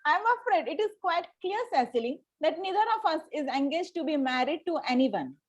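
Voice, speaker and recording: Haughty society girl, Victorian stage comedy, played by a woman, very high voice, formal and imperious delivery. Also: expressive, brisk. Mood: formal, wounded. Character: haughty, indignant young Victorian lady of fashion. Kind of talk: amateur table read of a stage comedy.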